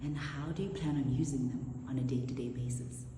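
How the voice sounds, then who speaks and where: A woman storyteller telling a personal story in an expressive, measured spoken style. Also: very deep voice. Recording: echoey reverberant room, faint noise.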